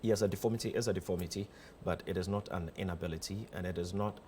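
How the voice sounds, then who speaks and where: A man's voice: low voice, fast, dark tone, a little hiss or hum. A man with a Ghanaian accent speaking as a guest in a casual interview, playful and emphatic, often repeating his phrases. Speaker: guest, theatre director.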